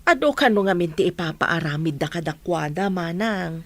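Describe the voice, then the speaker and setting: A woman's voice: on the low side, expressive, moderate pace, no room sound. A woman playing a resigned village mother in a radio comedy drama, standing up for her children. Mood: defensive. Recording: clearly noisy recording with clicks.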